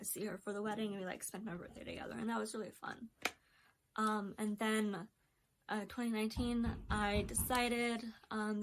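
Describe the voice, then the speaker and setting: A woman's voice: medium pitch, noisy background. Rambling young woman full of ums. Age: 20s. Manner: rambling, many ums and likes. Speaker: vlogger. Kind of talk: casual personal recap.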